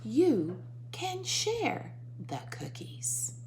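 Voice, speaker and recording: A woman's voice: high voice, wildly swooping pitch, drawn-out words, whispered, pitched above the performer's own voice, heavily acted. A woman voicing a welcoming mother in a children's storybook, with simple inviting lines, on a noisy recording.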